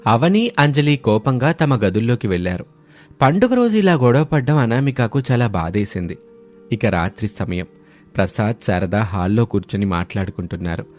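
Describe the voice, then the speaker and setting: A man's voice: lowish voice, very animated, moderate pace, a little mellow. A man narrating a scripted dramatic family story. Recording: studio voice over background music.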